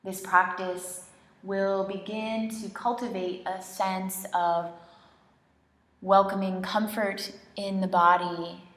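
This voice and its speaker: Female meditation instructor, very slow and gentle delivery, long pauses, echoey studio. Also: medium pitch, flat delivery. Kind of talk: slow guided relaxation meditation, soothing instruction. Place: indoor studio, leading a group in person. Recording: roomy, echoing studio sound.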